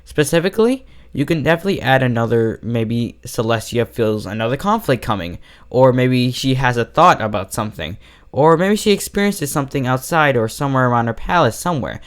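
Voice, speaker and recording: A man hosts a story review with a very lively, swinging pitch. His voice sits in an average male range. He delivers a scripted critique addressed directly to online viewers. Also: a little fast, clean tone. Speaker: host and reviewer of fan fiction readings.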